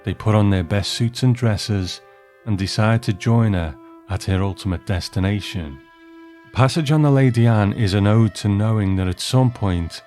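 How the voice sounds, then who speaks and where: Male host-narrator, lowish voice, scripted review and story reading, music underneath. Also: dark tone.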